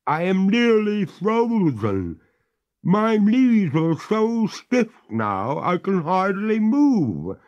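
A man voicing a genteel female water ghost who pleads and protests in a high, drawn-out voice. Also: wildly swooping pitch, darkish tone, lo-fi sound, exaggerated voice. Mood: pleading.

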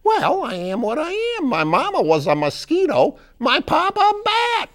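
A gentle, one-of-a-kind mosquito-bat creature chants in a very high voice with drawn-out, singsong swoops. It sounds proudly peculiar, like a storybook oddity. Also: huge pitch swings, heavily acted. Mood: proud, apologetic.

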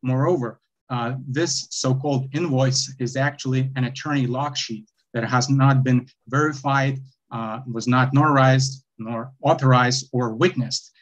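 A young man with a Lithuanian accent arguing his own appeal over a video call, halting, with many fillers and restarts. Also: medium pitch, steady tone. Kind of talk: remote oral argument before a court. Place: bright indoor room, video call. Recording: dull lo-fi video call audio.

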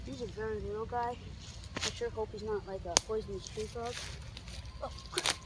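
Excitable teen boy with a high voice, out in the woods. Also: normal speed. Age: teens. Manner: excitable, chatty, cursing under his breath. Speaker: vlogger. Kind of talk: casual outdoor vlog. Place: outdoors in leafy woods. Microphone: handheld phone camera. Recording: loud outdoor noise on phone.

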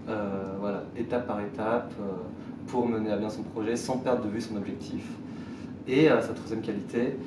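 Low-voiced man, echoey distant room. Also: background noise. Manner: fillers and restarts, trailing off softly. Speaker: mentor to social entrepreneurs. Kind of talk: conversational interview. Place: small bright indoor room.

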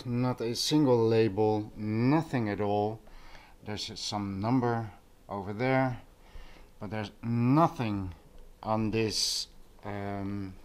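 A man talking casually as a vlog host, explaining things in a musing, unhurried manner while filming indoors. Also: mid-range voice. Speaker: audio vlogger, host. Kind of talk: casual vlog talk over handheld footage. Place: living room with carpet and couch, indoors.

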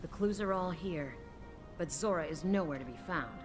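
Woman playing a low-voiced, seasoned woman explorer. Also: expressive, music in the background, lightly acted. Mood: wistful, contemplative. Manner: measured, musing, assured. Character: seasoned, wry older woman field researcher, mentor. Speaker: game voice actor. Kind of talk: dramatic video game cutscene acting.

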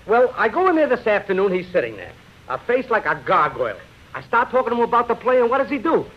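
An actor in a 1930s radio drama playing a slangy theatrical agent who wheedles, cajoles and hedges. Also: male voice, high-pitched, wildly swooping pitch, fast talker, full character voice. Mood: evasive. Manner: wheedling, folksy, hesitant when cornered. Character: slangy, fast-talking male showbiz agent, cajoling and evasive. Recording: muffled 1930s radio broadcast.

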